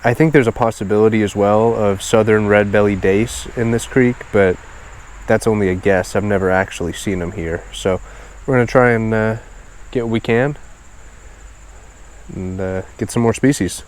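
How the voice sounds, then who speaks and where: Male outdoor vlog host, lowish pitch, nasal and stuffed-up, casual enthusiast delivery. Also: fairly even intonation, moderate pace, a little mellow, slight background noise. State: congested and sniffly. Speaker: host of a fishing video series. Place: outdoors beside a small creek.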